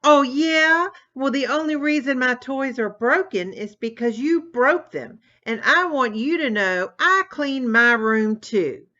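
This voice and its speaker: A woman voicing a hot-tempered young boy turtle in a storybook, whiny and given to shouting. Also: a little high, very animated, slow pace, muffled recording, pitched above the performer's own voice, exaggerated voice. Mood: shrieking angry.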